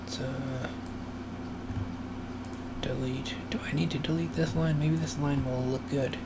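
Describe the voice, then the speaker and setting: Man musing aloud, voice raspy and dry. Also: mid-range voice, fairly even intonation. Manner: musing, hesitant, thinks aloud with many pauses. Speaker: animator live-streaming his work. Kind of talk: rambling casual live-stream talk while working. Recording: noisy room hum behind voice.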